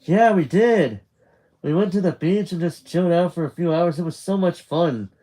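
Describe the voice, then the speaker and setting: A man voicing an excitable, affectionate teenage boy in a story, exuberant and eager. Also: sits high, takes it slowly, pitched above the performer's own voice, full character voice. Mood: cheerful, chatty.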